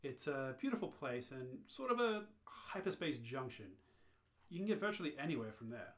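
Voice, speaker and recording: An amateur reader plays a worldly alien hitchhiker in a radio play. The voice sits at a medium pitch and delivers lines in a dry, matter-of-fact, deadpan way. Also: normal speed. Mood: dry, unfazed.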